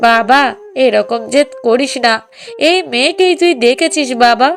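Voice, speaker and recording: High-pitched anxious cartoon mother voiced by a woman. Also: expressive, moderate pace. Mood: puzzled, coaxing. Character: anxious, stubborn middle-aged mother in family drama. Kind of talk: Bengali moral-story cartoon dubbing.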